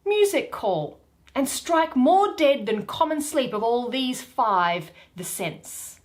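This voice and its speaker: Woman reading as a high, expressive fairy queen. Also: slow, full character voice. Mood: imperious. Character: graceful fairy queen, dreamy and wondering. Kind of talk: Shakespearean verse reading.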